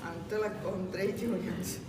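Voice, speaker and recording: A woman from Estonia, a trade union president, answering interview questions in halting, hesitant English as she searches for words. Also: on the low side, fairly even intonation, over a noisy crowd. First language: not English. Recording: echoey room with loud music.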